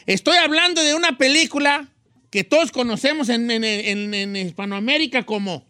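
An enthusiastic male talk show host who mixes Spanish and English. His voice is very high and bright, recorded dry and close. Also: normal speed, dry recording. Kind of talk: casual talk show hosting.

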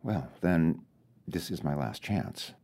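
A man voicing a flustered fairy-tale queen, hushed and tentative, in a storybook reading. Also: a bass voice, animated, slow, breathy edge, pitched below the performer's own voice, exaggerated voice. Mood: tense, tentative. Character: flustered fairy-tale queen, a woman making her last guesses.

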